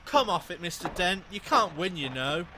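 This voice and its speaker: A man's voice: sits high, animated, moderate pace. A young man playing an impatient, pompous council foreman in a radio comedy, insistent in manner. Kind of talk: radio comedy play acting. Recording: outdoor vehicle noise behind.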